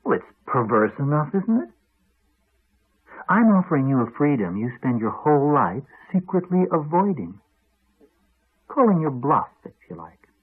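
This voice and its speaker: Cruel husband: husky, sardonic, wide swings of pitch, needling, old radio drama on a thin phone-like band. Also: male voice, sing-song, moderate pace, pauses often. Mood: coolly cruel. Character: cruel, sardonic husband, coolly taunting his wife. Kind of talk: 1980s radio drama acting. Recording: narrow-band 1980s radio recording, phone-like.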